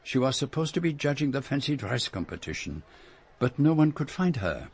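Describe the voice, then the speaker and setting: An actor playing a courteous, shrewd Belgian detective with a French-speaking Belgian accent, formal and precise in a radio drama. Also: male voice, mid-range voice, big pitch swings, husky. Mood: measured, thoughtful. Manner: measured, formal, precise phrasing. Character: courteous, shrewd Belgian private detective, middle-aged or older.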